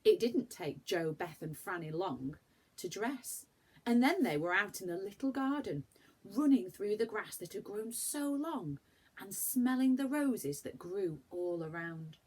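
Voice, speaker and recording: A woman reading a children's story aloud like a primary school teacher, with animated, dramatic emphasis for young listeners. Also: mid-range voice, measured pace, husky.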